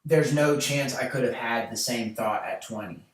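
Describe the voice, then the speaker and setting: A podcast host in his late twenties with a twang, talking in a loose, rambling way with plenty of fillers and false starts. His voice sits at an ordinary pitch for a man. He is heard from a distance in a very echoey room. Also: normal speed. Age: late 20s. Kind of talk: casual chat. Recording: echoey room, mic far off.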